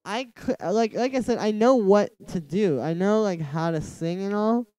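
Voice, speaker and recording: Young male streamer-producer, nasal and soft-toned, casual filler-heavy chat over a quiet beat. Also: high-pitched, moderate pace, clear, resonant tone, dry recording. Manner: rambling, heavy use of "like". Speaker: music producer streaming live. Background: faint music from the track being produced.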